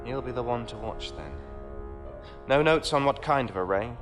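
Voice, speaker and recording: A man's voice: medium pitch, animated, moderate pace, music in the background, lightly acted. A man playing a patronizing courtly knight. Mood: wry. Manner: courtly, patronizing, dry wit. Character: genteel, condescending male knight with a ship.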